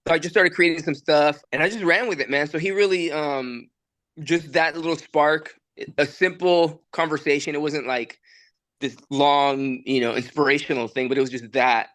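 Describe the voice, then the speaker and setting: A man's voice: fairly high pitch, fairly even intonation, moderate pace, a bit bright, dry recording. Laid-back rambling young man. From El Salvador. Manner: laid-back, rambling, many fillers and restarts. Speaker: musician and studio producer. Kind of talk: casual podcast conversation. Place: recording studio.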